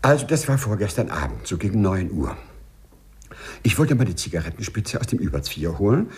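A man's voice: lowish voice, sing-song, a little fast, darkish tone, husky, heavily acted. An actor playing a timid, overly correct male civil servant with hesitant, formal phrasing in a 1950s radio play. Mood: agitated, bewildered.

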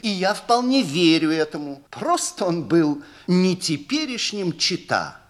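Man putting on a languid young countess, raised pitch. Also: big pitch swings, a little slow. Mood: assured, gossipy.

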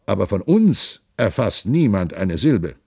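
A man's voice: on the low side, big pitch swings, unhurried, vocal fry, dark tone, husky, dry recording, clean studio sound, exaggerated voice. Male reader doing a commanding giant prince, raised and emphatic, stately archaic speech. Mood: hearty, commanding. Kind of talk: audiobook reading.

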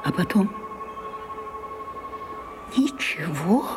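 An older woman playing a proud, weary old French stage actress in a radio drama, declaiming broodingly and dropping into breathy whispers. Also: fairly low pitch, very animated, extremely slow, exaggerated voice. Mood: bleak, bitter. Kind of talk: theatrical radio drama acting.

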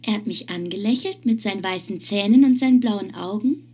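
An actress playing a dreamy, earnest eight-year-old girl in a radio drama, speaking in a slow, childlike way. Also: mid-range voice, darkish tone, husky, lightly acted. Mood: dreamy, proud. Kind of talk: German radio drama acting.

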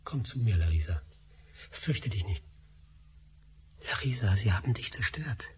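A man's voice: mid-range voice, a little mellow, slight background noise, natural voice. A man playing a defiant runaway scientist. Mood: tender, grieving. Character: driven male scientist on the run, defiant. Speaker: Doctor Spassky. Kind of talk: radio drama acting.

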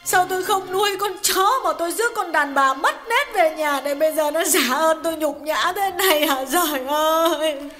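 A man voicing an enraged, betrayed young wife who scolds shrilly and cries as she shouts. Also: very high-pitched, normal speed, hardly any pauses, soft background music, voice pushed up. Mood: wailing. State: crying.

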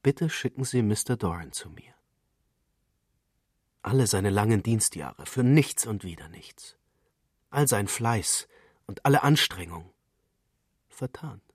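A man's voice: on the low side, animated, takes it slowly, pauses often, slightly rough, no room sound, studio quality. A man reading literary prose as a scripted narrator.

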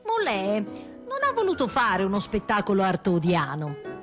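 A woman narrates a scripted radio theatre programme over soft music. Her voice sits at a middle pitch, and she phrases things in a measured, theatrical way. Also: wildly swooping pitch. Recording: music under the voice.

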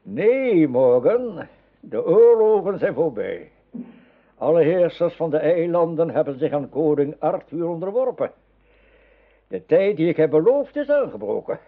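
An actor playing a weary, wise old wizard mentor in a radio drama, speaking with measured, solemn counsel. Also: male voice, a little high, very animated, heavily acted. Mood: earnest. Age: older. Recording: thin, phone-like old radio play recording.

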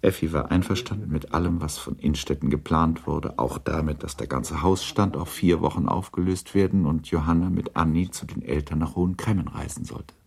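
A sober male narrator for a classic literary radio play. His voice sits very low with a gravelly creak. The delivery is measured and detached, like scripted storytelling. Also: darkish tone, heavily acted.

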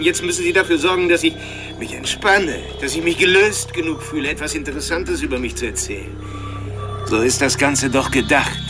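An actor in a radio drama playing a taunting, intellectual serial killer, with a mocking, theatrical way of drawing out phrases. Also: male voice, a little high, natural voice. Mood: teasing, controlling. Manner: mocking, theatrical, mannered, teasing, drawn-out phrasing.

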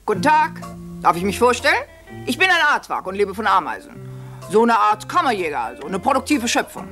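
Lively, self-important cartoon lady anteater. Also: medium pitch, a little fast, soft background music. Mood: proud, showing off. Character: chatty, vain, hungry lady anteater cartoon animal. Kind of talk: cartoon dubbing, talking to the viewer.

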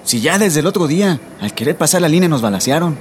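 A man's voice: fairly high pitch, big pitch swings, fast. Anxious Mexican migrant man, noisy ambience. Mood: fearful, brooding. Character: anxious, fatalistic Mexican migrant man waiting to cross the border. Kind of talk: radio drama dialogue. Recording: noisy recording with vehicle-like ambience.

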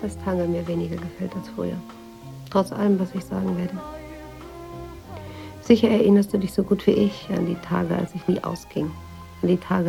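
An actress playing an introspective, quietly troubled woman writer confiding her memories in a radio drama, in a measured, literary manner. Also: lowish voice, gravelly, poor audio. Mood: pensive, confiding.

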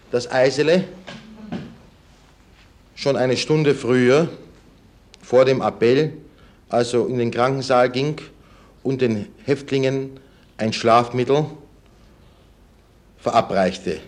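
Sober mid-pitched male witness played by an actor. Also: lightly acted. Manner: halting, matter-of-fact. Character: sober male witness giving halting testimony. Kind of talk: documentary radio drama testimony.

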